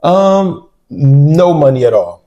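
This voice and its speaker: A man in his forties, a real estate developer, telling his story in a relaxed interview and often checking in with "right?". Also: a little high, takes it slowly, lo-fi sound. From United States. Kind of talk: casual interview.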